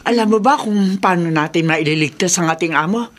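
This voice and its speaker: A woman's voice: lowish voice, brisk, lightly acted. A woman playing a worried, caring mother in a horror radio drama, concerned and emotional.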